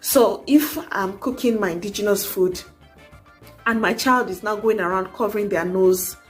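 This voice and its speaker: A woman's voice: mid-range voice, moderate pace, faint music behind. A young adult woman, talking earnestly to camera in a casual advice vlog, urging and coaxing with many asides. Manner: earnest, exhorting, frequent "you know". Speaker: vlogger.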